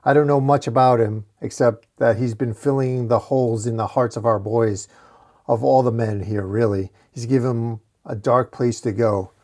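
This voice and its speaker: A man acts out a gentle, weary priest from a comic book tale. He speaks earnestly and urgently, as if pleading to be heard. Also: mid-range voice, steady tone, moderate pace, natural voice. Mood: grave, weary.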